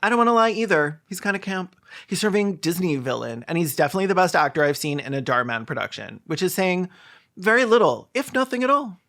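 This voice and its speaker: A comedic YouTube commentator with a middle-pitched voice. The delivery is mocking and sarcastic, with exaggerated reactions and crude asides. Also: lively intonation, quick pace, fairly bright tone, soft background music.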